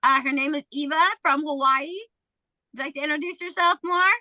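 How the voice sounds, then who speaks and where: A woman's voice: high voice, moderate pace, sharp tone, no room sound. A woman hosting a podcast in a casual, chatty way, full of fillers. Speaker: podcast host.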